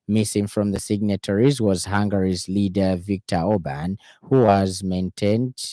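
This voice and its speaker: A man with a Zambian accent reading a scripted radio news bulletin in a formal, measured announcer's delivery. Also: deep voice, dark tone, dry recording. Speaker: radio newsreader. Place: radio studio.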